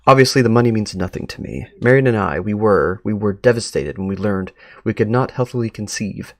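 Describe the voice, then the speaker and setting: A man's voice: fairly low pitch, a little fast, no room sound, studio quality, natural voice. A man reading letters aloud as a sentimental, well-off doctor and devoted adoptive father, in formal, earnest words. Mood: earnest, wistful.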